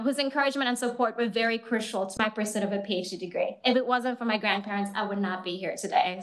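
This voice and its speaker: A woman's voice: fairly high pitch, fairly bright tone. A young woman with a Kenyan accent, giving a thank-you speech at her thesis defense to a room with an audience and laughing often between phrases. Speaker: PhD candidate.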